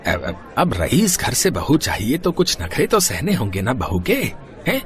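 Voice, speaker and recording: A man's voice: medium pitch, wildly swooping pitch, measured pace, some chatter behind. A man voicing a fussy, meddling middle-aged uncle in a cartoon drama, hesitating and tagging on questions. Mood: flustered. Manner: hesitant filler sounds, tag questions. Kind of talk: Hindi cartoon family drama acting.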